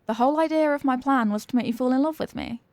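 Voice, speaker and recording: A flustered popular cheerleader girl with a secret crush, played by a young woman. Her voice sits fairly high and sounds very dry, with no room around it. She talks in a coy, dramatic way suited to an intimate ASMR roleplay. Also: quick pace, a little mellow, no room sound. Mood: indignant.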